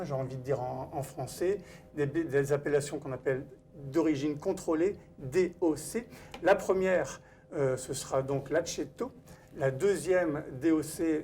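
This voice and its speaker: A man's voice: a little high, a little mellow. A man hosting a live-streamed cooking event, welcoming viewers and introducing the guest chef in a formal emcee manner. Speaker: event host and wine author. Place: cooking school studio kitchen.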